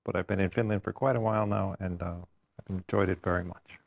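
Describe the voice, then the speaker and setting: A man, a postdoctoral researcher, answering questions in a relaxed, matter-of-fact way. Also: low voice, fast, creaky. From United States. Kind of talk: answering questions in an info session.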